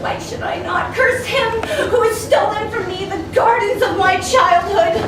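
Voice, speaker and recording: A man plays a cowering housemaid in an extremely high, shrill voice. She is overwrought and fearful in the style of campy stage melodrama, heard from far off in a very echoey hall with audience noise. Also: measured pace, a crowd in the background. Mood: defiant grief. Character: fearful, pleading, downtrodden female housemaid. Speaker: actor in a staged reading. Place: live venue with audience.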